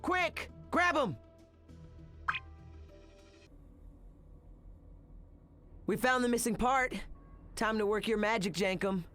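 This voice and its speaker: A lively teenage boy space cadet. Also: high voice, normal speed, soft background music. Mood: urgent. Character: eager, plucky teenage boy alien cadet leader. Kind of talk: animated game acting.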